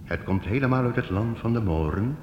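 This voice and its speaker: An actor in a radio play, playing a sly, flattering master of travelling musicians with theatrical salesman's patter. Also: fairly low pitch, brisk, highs cut off, lightly acted. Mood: wheedling, flattering. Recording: echoey hall, noisy old radio recording.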